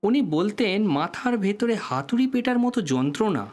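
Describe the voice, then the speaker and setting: A man's voice: high voice, big pitch swings, normal speed, darkish tone. A man playing a courteous, guarded and slightly weary doctor in an audio drama, explaining things in a professional manner. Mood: guarded, explanatory. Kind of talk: Bengali audio drama acting.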